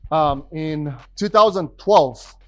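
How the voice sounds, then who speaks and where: A man, giving an energetic, conversational stage talk as a business speaker. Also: fairly high pitch, takes it slowly, dry recording, faint music behind. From Romania. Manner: energetic, conversational, rising emphasis. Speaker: podcast host and business speaker. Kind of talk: stage presentation to a live audience.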